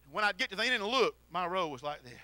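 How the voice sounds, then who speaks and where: Pastor guy, high pitched and piercing, preaching hard then going soft, pacing in a church. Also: animated, racing, no echo. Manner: swings from shouted exhortation to quiet storytelling; preaches while pacing the platform. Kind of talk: sermon. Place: church sanctuary. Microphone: handheld mic.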